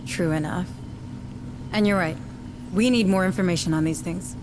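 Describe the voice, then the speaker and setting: A woman's voice: on the low side, normal speed, noisy background, natural voice. A woman playing a composed, determined colony administrator in a video game cutscene. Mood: composed, dry.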